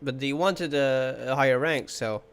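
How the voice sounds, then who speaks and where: A man's voice: mid-range voice, unhurried, clear, resonant tone. A man giving casual playthrough commentary on a video game, informally thinking aloud. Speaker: gaming video maker reacting to a game. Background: soft game music.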